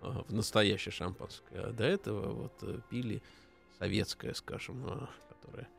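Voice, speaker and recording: A man's voice: on the low side, normal speed, gravelly, faint music behind. A man hosting a live radio talk show, chatting playfully with a guest and hesitating with fillers. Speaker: radio host.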